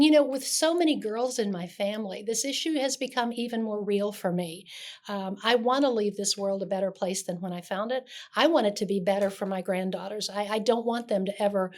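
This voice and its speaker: An older woman raised in Dallas, speaking earnestly as a guest in an interview, with frequent restarts. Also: medium pitch. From Connecticut, raised in Dallas, Texas. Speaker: guest, shelter CEO. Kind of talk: conversational interview.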